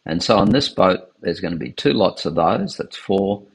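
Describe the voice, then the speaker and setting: A man's voice: deep voice, lively intonation, moderate pace, vocal fry, husky. A man walking through a boat's solar setup in a casual, technical way, with fillers and self-corrections. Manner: informal, explanatory, many fillers and self-corrections. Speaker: solar installer demonstrating a boat system. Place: on a sailing catamaran, outdoors and in lockers, later voice-over on screen recording.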